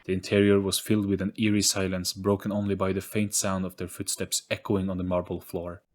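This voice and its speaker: Deep-voiced man narrating a spooky story. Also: flat delivery, a little fast, noisy background. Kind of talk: scripted dramatic story narration.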